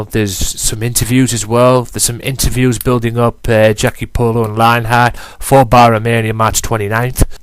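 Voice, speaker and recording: A man's voice: mid-range voice, clear, resonant tone, dry recording. Sniffly man with a head cold. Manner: emphatic, repetitive, casual swearing. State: has a cold, sniffling and blocked nose. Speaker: podcast host. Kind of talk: solo wrestling podcast commentary.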